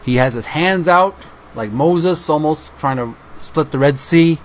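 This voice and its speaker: A man hosting a channel, reading a book aloud and adding informal descriptive commentary in halting, piecemeal phrases. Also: fairly high pitch, slight background noise.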